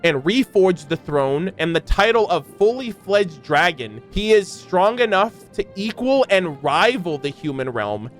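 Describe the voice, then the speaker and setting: A man with a fairly high voice talks as an online reaction creator over background music. He moves between reading lore aloud and loose, rambling commentary, given to hyperbole and casual swearing. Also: sing-song, measured pace, few pauses.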